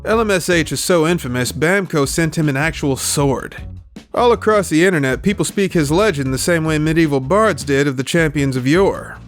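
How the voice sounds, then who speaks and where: A man hosts a scripted video essay in a somewhat high voice. He is opinionated and emphatic, with casual, sweary phrasing. Music plays faintly behind his narration. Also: moderate pace.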